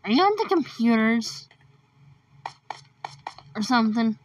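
A woman's voice: a little high, lively intonation, measured pace, recorded from a distance, highs cut off, a little hiss or hum. A woman chatting casually during a roleplay game, reacting in short, hesitant remarks. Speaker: player recording a game video. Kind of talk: casual gameplay roleplay chat.

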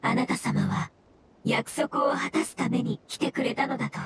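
A woman's voice: high voice, steady tone, normal speed, a little hiss or hum. A woman voicing a polite, sorrowful mushroom spirit in an anime game, formal and earnest. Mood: grateful, devout. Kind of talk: anime game dialogue acting.

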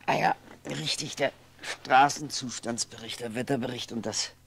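Lonely brooding man, 1960s radio play monologue, halting, wry, gravelly, faint noise and hum. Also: mid-range voice, a bit bright. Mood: wry, musing. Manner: musing, halting, sometimes whispering.

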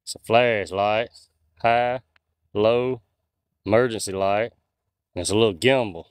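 A man in his forties talks to his viewers as he walks through the woods at night, holding his phone on a gimbal. His voice is smooth and clear and sits at an ordinary pitch, with dry, close sound and no room echo. He rambles in a folksy way, reading out chat names. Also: measured pace. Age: 40s. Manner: chatty, folksy, reads out viewer comments. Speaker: outdoors livestreamer. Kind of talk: casual live-stream chat with viewers. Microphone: phone on a handheld gimbal.

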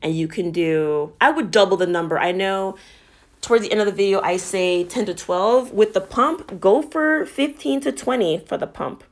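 A woman gives an instructional voice-over for a home workout, speaking at a medium pitch. She sounds like a fitness coach, explaining form and options in an encouraging manner. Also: normal speed.

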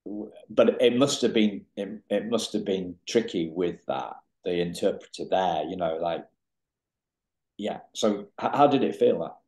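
Male podcast host; low voice, halting, lots of restarts; roomy echo on the recording. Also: darkish tone. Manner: halting, many repeated words and restarts. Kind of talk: interview questions. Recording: echoey room.

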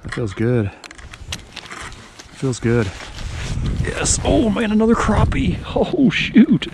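A man in his forties giving casual running commentary while ice fishing outdoors on a frozen pond, his voice jumping up with excitement when fish bite, recorded on a body-worn action camera. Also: high voice, slow pace, vocal fry. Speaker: fishing vlogger. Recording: loud wind noise on the mic.

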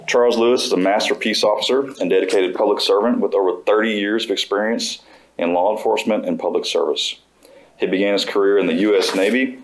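A man's voice: deep voice, poor audio. A middle-aged man at a podium in a school board room, reading formal prepared remarks about a new hire. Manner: reads steadily from notes, formal. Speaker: school district safety administrator presenting a hire. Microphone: podium microphone.

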